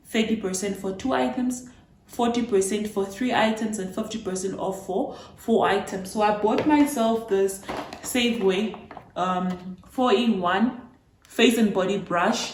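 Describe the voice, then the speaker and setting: A woman in her twenties from Namibia talks casually to her viewers, animated and playful as she retells her own story. Her voice sits at a middle pitch. The recording carries a noticeable room echo. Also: a little hiss or hum. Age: 20s. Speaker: vlogger. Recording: echoey room.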